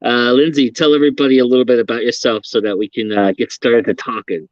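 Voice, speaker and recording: A man's voice: mid-range voice, fairly even intonation, quick pace, dry recording, dull audio. A man hosting a podcast interview, chatting casually, laughing often and rambling with restarts. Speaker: podcast host.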